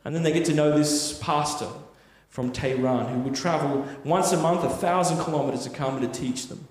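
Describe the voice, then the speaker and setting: A man's voice: a little high, a little fast. A man preaching a sermon, telling a story in an earnest, conversational way with frequent tag questions. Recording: echoey hall with long reverb.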